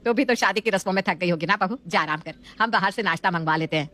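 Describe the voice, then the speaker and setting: A woman's voice: on the low side, fast, fairly bright tone, natural voice. A woman playing a fussy, doting middle-aged Indian mother in a family story, motherly and given to exclaiming. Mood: caring. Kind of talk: scripted family story acting.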